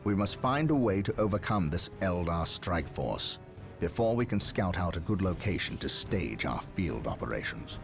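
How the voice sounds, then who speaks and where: A man's voice: sits low, big pitch swings, normal speed, music in the background. An actor playing a stern, proud space marine captain in a video game, formal and authoritative in his delivery. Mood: commanding. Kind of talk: dramatic video game cutscene acting.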